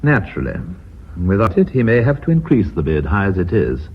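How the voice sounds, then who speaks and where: An actor playing an older, titled company chairman in a radio drama, speaking in a measured, formal, orotund way. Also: on the low side, wildly swooping pitch, a little hiss or hum. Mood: businesslike. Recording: dull, muffled radio recording.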